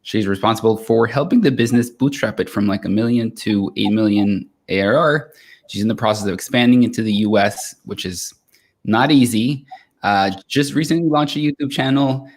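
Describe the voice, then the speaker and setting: A young man hosting a live stream interview in an upbeat, energetic way. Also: on the low side, moderate pace. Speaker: host. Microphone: video call.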